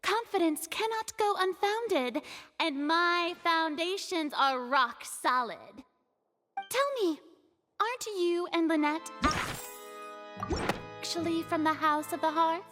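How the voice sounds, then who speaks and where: A woman's voice: very high-pitched, takes it slowly, long pauses, sharp tone, heavily acted. A woman playing a haughty, smug young archon with a pompous, theatrical delivery in a video game. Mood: smug, challenging. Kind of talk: video game character acting. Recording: long hall reverb, music behind.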